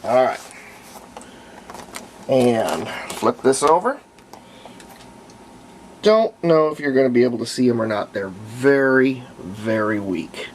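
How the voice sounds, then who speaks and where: Guy at home talking thru a repair, halting short phrases, bit of noise on the camera mic. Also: mid-range voice, animated, rough recording. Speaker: hobbyist demonstrating antique radio repair. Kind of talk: casual hands-on narration while working. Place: room at home, indoors.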